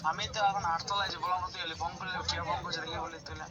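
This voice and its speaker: A man's voice: high-pitched, level tone, racing. A man speaking defensively and protesting on a phone call. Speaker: prank call target. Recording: dull phone call, loud crowd and traffic.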